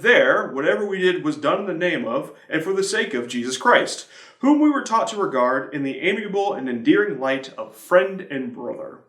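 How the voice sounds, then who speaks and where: A man with a fairly high voice reads a scripted devotional as its presenter. His delivery swings up and down in a very lively way, and the room around him rings with noticeable echo. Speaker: church media director presenting a devotional. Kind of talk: scripted reading of a hymn history devotional.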